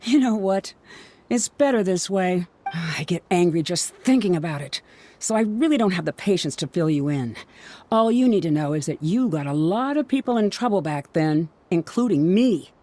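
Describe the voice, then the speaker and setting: A woman's voice: fairly low pitch, expressive, moderate pace, mellow, slight background noise, natural voice. An actress playing a proud, irritable young woman in a video game, haughty and brusque. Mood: irritated, dismissive.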